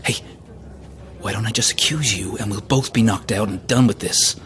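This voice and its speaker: A man's voice: fairly low pitch, normal speed, crowd noise, close to an everyday voice. A man playing a nervy, scheming coach passenger in a naturalistic audio drama, conspiratorial and grumbling.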